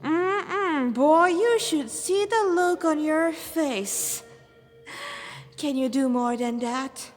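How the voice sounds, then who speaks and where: A woman's voice: high-pitched, very slow, soft background music, voice pushed up. A woman voicing a vain, mocking male demon warlord from a fan story, with a languid, taunting delivery. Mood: gleeful taunting. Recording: reverberant echoey room.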